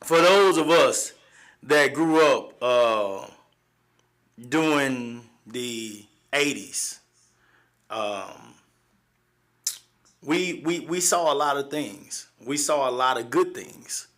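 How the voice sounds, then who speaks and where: A man in his forties with a Southern accent, hosting a live show and telling stories from his childhood in a halting, folksy way, with many fillers and restarts. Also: mid-range voice, a bit bright. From East Texas, USA. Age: 40s. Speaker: livestream host. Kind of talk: personal storytelling on a live show.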